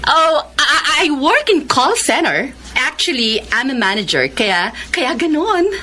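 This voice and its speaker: A woman's voice: high voice, big pitch swings, takes it slowly, sharp tone, slight background noise, heavily acted. A Filipino woman playing a poised, guarded young career woman in a radio romance, speaking affected Tagalog peppered with English. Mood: breezy, proud. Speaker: actress in a radio romance drama.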